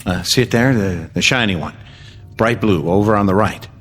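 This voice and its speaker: A man playing a steady, practical spaceship pilot in a radio drama. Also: on the low side, normal speed, soft background music, lightly acted. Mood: calm wonder.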